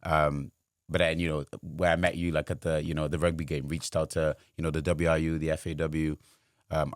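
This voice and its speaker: A man's voice: rumbling low, dry recording, clean studio sound. A man, a guest telling his career story in casual chat, rambling with lots of fillers. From Wales. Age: 20s. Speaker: guest, sports journalist.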